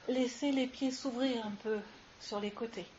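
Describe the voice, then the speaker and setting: A woman leading a guided yoga relaxation as instructor, giving soothing, unhurried instructions with long pauses, recorded live. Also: on the high side, steady tone, a little mellow. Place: live session with ambient sound. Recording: muffled live recording with clear hiss.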